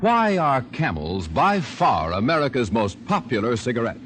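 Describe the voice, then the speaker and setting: A man delivering a scripted 1940s radio advertising pitch as a commercial announcer. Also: very animated, normal speed, over loud music. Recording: old radio, faint noise.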